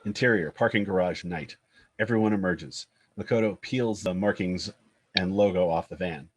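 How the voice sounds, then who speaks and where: Man, very low pitch, rough husky tone, scripted narration, stage directions. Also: normal speed. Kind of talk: scripted narration, screenplay reading.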